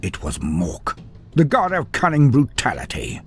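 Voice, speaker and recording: A man's voice: mid-range voice, huge pitch swings, a little slow, faint music behind. A man reading a fantasy story aloud with a dramatic delivery, his voice rising and falling through the telling. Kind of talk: scripted fantasy story narration.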